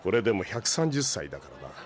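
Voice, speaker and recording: A man playing a stoic, protective old cyborg, speaking in a measured, formal, dryly serious way in an anime audio drama. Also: fairly low pitch, big pitch swings. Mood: dry concern. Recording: faint street crowd behind.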